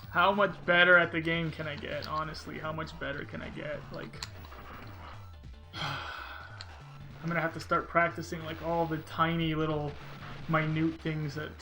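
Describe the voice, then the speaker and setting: A man's voice: a little high, measured pace, pushed and bright, faint music behind. A man streaming a video game, chatting casually with viewers and thinking aloud in a rambling, unsure way. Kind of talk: casual live-stream chat while playing.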